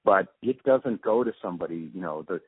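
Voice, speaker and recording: An older man reflecting in an interview, speaking in a halting, thoughtful way with pauses mid-sentence. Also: low-pitched, expressive, slightly rough, no echo. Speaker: radio drama sound designer and producer being interviewed. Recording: muffled phone-like narrowband line.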